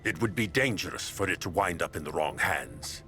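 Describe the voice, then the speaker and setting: Very deep-voiced craftsman. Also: expressive, normal speed, pushed and bright, soft background music. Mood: pondering. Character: male craftsman inventor, knowing and grave. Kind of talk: video game cutscene acting.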